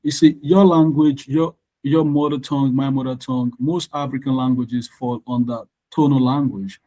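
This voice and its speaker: A man's voice: mid-range voice, steady tone, moderate pace, mellow, rough recording. A man from Nigeria whose first language is Yoruba, teaching an online exam-prep lesson, explaining patiently and spelling words out letter by letter. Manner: frequent "all right" checks with learners. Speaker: tutor.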